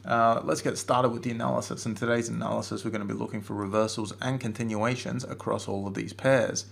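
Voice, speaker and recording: A man's voice: mid-range voice, steady tone, fairly quick, gravelly. A man with a cold and a strained voice giving a casual chart-analysis webinar, hesitating often with fillers and restarts. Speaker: forex trading analyst.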